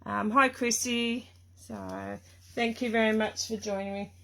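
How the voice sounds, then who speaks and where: A middle-aged woman from Tasmania with an Australian accent, hosting a casual live craft stream from her home craft room, chatty and greeting viewers by name, her voice hoarse and croaky from a cough. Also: on the high side, steady tone, measured pace, bright, forceful tone.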